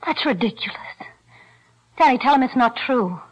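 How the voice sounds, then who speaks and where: A woman's voice: sits high, animated, normal speed, dull audio. An actress playing a worried young woman, earnest and pleading, in 1940s radio-drama style. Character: anxious young woman, the loyal girlfriend.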